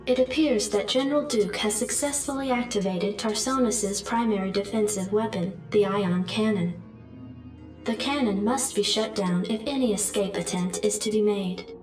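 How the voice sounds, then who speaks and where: A woman's voice: mid-range voice, moderate pace, a little mellow, close to an everyday voice. A woman playing a composed, dutiful military adjutant in a video game, giving formal, detached briefing announcements. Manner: formal, detached, crisp reporting. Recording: echoing, distant voice with music.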